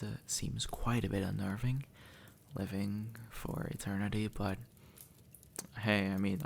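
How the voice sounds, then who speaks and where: A man playing an easygoing, centuries-old demon who was once human, speaking gently and casually to the listener in an intimate audio roleplay. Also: fairly low pitch, creaky, a little hiss or hum. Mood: matter-of-fact, wistful. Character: easygoing two-century-old male demon, formerly human, reassuring and teasing.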